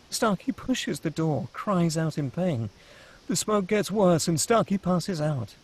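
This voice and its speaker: A man narrates a screenplay with dramatic delivery, reading out scene headings and action. His voice sits slightly high for a man, with a gravelly creak and a husky edge. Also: poor audio. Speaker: narrator reading stage directions.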